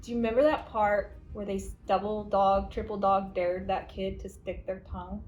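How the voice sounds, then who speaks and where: A woman's voice: mid-range voice, little pitch movement, moderate pace, a little mellow, lo-fi sound. An American woman, a 911 dispatcher, telling stories casually among colleagues. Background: background music.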